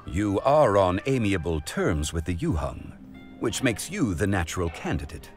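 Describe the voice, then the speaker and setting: A very deep, stately gentleman sage speaks with formal, measured composure. His lines rise and fall expressively in anime game style, with faint music behind. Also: big pitch swings. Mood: advising. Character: calm, dignified former god living as scholarly consultant.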